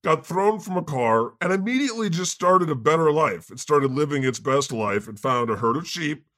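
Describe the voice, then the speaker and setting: A man's voice: fairly high pitch, lively intonation, moderate pace, a bit bright, no room sound, clean studio sound. A man hosting a comedy podcast, trading playful, teasing banter and often laughing mid-sentence.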